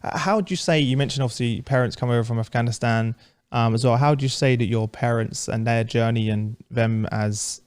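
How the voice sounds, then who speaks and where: A man's voice: mid-range voice, a little mellow, smooth. Upbeat, friendly male podcast host with a mid-range voice. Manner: upbeat, friendly, laughs easily. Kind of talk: casual interview hosting.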